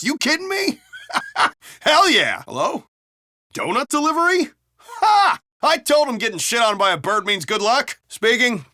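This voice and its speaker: American video game cop, higher-pitched, sardonic, excitable, profane, very dry close sound. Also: male voice, no echo, exaggerated voice. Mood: giddy excitement. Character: foul-mouthed, gruff, excitable American male city cop. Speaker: video game police officer. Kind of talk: game voice lines, banter and barks.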